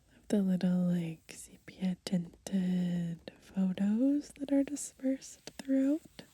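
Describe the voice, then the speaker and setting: A woman whispering softly and slowly in a gentle ASMR style, pausing between short phrases as she reads and browses through a book. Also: mid-range voice, dry recording. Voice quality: breathy, mostly unvoiced whisper. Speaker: ASMR host. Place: outdoors, lying in grass in the countryside.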